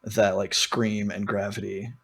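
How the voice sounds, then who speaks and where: A man's voice: lowish voice, little pitch movement, measured pace, fairly bright tone, husky. A man hosting a podcast in a casual, rambling and informal way, talking through music with frequent asides. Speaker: podcast host. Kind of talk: casual album discussion.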